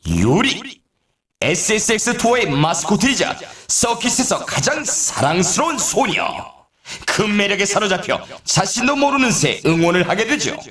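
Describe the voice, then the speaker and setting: An excitable male snowboard announcer for a video game, pitched high with big swings in intonation. He delivers scripted race commentary with showy, dramatic flair. The sound is roomy and echoing. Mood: dramatic, admiring. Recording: roomy echo, slightly distant mic.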